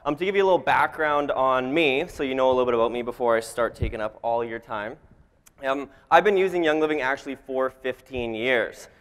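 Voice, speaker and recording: A man's voice: mid-range voice, brisk, a bit bright. A man in his twenties with a Canadian accent, giving an informal talk to a live audience as a guest speaker, with frequent ums. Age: 20s. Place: event hall, on stage. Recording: reverberant large hall sound.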